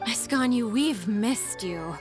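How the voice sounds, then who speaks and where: An actress playing an exhausted, adoring office secretary in an anime video game, with a reverent, drawn-out delivery. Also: female voice, on the high side, animated, over music.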